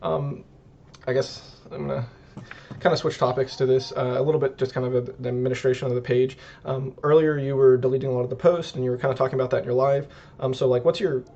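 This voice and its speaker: A man's voice: mid-range voice, gravelly, warm tone, lo-fi sound. A man from Northern California co-hosting a casual podcast, rambling and correcting himself as he goes. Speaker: podcast co-host.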